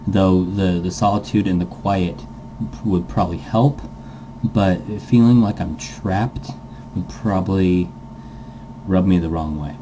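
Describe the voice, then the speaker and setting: Male gaming host, deep and relaxed, rambling casual delivery, faint room hum behind him. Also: a little slow, rough recording. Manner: rambling, thinking aloud. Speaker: host of a visual novel playthrough series. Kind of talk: casual gaming commentary mixed with reading game text aloud.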